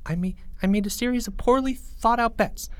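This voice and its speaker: A man voicing a frantic, stammering schoolboy with a high, squeaky voice. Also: brisk, dry recording. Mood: panicky. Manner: frantic, repeats words, later groggy and slurred. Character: anxious schoolboy Jeffrey, panicky and babbling.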